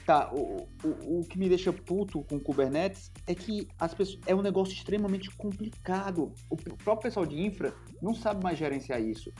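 A man's voice: high voice, mellow, faint music behind. A man with a Brazilian accent, co-hosting a casual tech podcast and laying out his opinions emphatically. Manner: opinionated, emphatic, quick bursts of listing. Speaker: podcast co-host.

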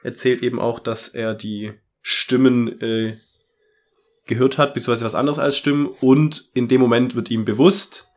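A man's voice: medium pitch, fairly even intonation, moderate pace. A man with a cold, summarizing a book chapter in a casual podcast chat with many fillers. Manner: chatty, with many fillers and laughs. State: has a cold. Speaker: podcast co-host.

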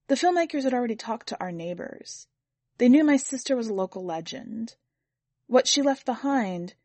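A woman's voice: a little high, a little mellow, no echo. A woman narrating a first-person literary short story from a script. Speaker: podcast editor and story reader.

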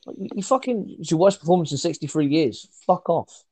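A young man's excitable, sweary podcast banter, sitting a touch higher in pitch than most men. He talks in quick bursts and restarts himself often. The sound is close and dry, with no room around it. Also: breathy edge, no room sound. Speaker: podcast co-host.

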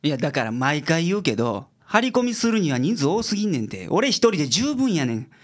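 An actor playing a blunt, gruff male detective in a radio drama, brusque and authoritative, with a Kansai accent. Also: on the high side, big pitch swings, brisk. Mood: grumbling. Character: gruff, blunt male private detective, sharp-witted boss.

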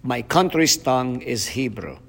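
A man's voice: medium pitch, animated, a little slow, gravelly, a little hiss or hum. A middle-aged man from Palestine, a pastor whose first language is Arabic, talking earnestly and casually with a group. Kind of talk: casual talk with an audience.

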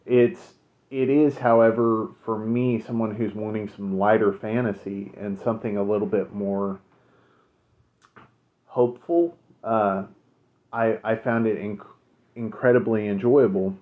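A man co-hosting a podcast, chatting casually and recommending shows, relaxed with many fillers. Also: on the low side. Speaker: podcast co-host. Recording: phone-like narrow sound.

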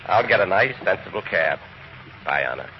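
A man's voice: deep voice, sing-song, moderate pace, highs cut off, noisy background. A man playing a wry, anxious writer on the run who narrates his own story in a 1950s radio drama. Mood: wistful. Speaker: narrator and lead. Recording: old radio broadcast with music.

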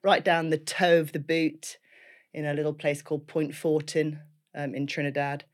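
A woman in her fifties with a clear Liverpool accent, talking through her life story as an interview guest. She is chatty and laughs often, with plenty of ums and restarts as she goes. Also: low voice, little pitch movement, normal speed. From Liverpool, England.

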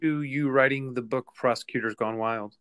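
A man hosting an interview, asking measured questions with pauses and ums. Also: mid-range voice, steady tone, a bit bright, clear, resonant tone. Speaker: interview host and city council member.

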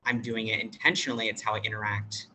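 A non-binary American teacher with a bright, forward voice speaks over a video call. They think aloud in a relaxed way, pausing and restarting often. Faint noise sits under the voice. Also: mid-range voice, low-quality recording. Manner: thoughtful, hesitant, with many fillers and restarts. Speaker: teacher at an international school; workshop facilitator. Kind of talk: casual interview talk.

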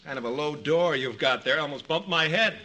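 A man's voice: fairly high pitch, fairly quick, pushed and bright. An actor playing a big, easygoing outdoorsman in a 1950s radio comedy, breezy and informal. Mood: breezy, casual. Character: hearty, easygoing, strapping rugged man from an island. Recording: noisy, crackly vintage 1950s radio recording.

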